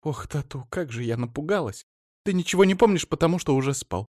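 A man's voice: on the high side, lively intonation, a little mellow, no echo, studio quality, pitched above the performer's own voice. A man putting on a flighty chattering wife. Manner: fast, breathless chatter. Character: excitable young-sounding wife, chattering and flighty.

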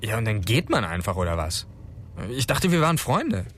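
A man's voice: fairly low pitch, very animated, normal speed. An actor playing a restless, informal man in a naturalistic radio drama, flippant in manner. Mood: hurt. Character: playful, restless man, wounded by a friend's departure. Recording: loud music behind dialogue.

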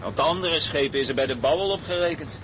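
A man's voice: fast talker, natural voice. An actor playing an easygoing engine-room seaman, chatty and colloquial, in a naturalistic drama. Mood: matter-of-fact. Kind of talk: naturalistic Dutch drama acting. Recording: clear rumble and outdoor ship noise.

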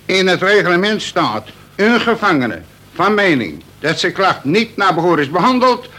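An actor playing a wily, mock-deferential veteran convict in a Dutch radio play, reciting pedantically with bits of prison slang. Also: male voice, high voice, sing-song, moderate pace, sharp tone, exaggerated voice. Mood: insistent, polite.

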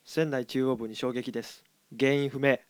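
A man's voice: medium pitch, steady tone, moderate pace, smooth, natural voice. A man playing a tense spaceship crewman who gives clipped, formal reports in an amateur radio drama. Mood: urgent, formal.

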